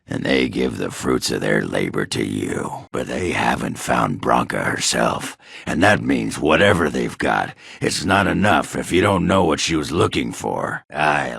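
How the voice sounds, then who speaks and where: A male actor playing a gruff, surly, hard-drinking middle-aged dwarf warrior in a video game, rough and gravelly, blunt, sardonic and coarse in his grumbling. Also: rumbling low, a bit bright, no room sound, studio quality, full character voice. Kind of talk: video game character dialogue.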